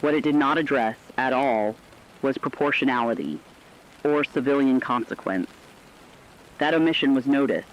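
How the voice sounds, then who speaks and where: A man's voice: medium pitch, moderate pace, close to an everyday voice. Dull-sounding, serious radio correspondent played by a man. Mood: serious, urgent. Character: serious radio correspondent reporting an escalating war crisis. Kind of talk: scripted fictional emergency radio report.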